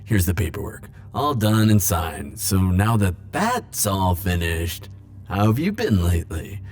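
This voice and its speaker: A man plays an ageless immortal in an audio roleplay, pining and defensive, with a low voice that swings widely in pitch. He speaks haltingly, stumbling over words as he addresses the listener directly. Music plays beneath him. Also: sing-song. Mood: evasive, awkward, then playful, chatty. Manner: hesitant, halting, stammering. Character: flirtatious, defensive male immortal pining for Grim Reaper. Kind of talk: ASMR roleplay monologue to the listener.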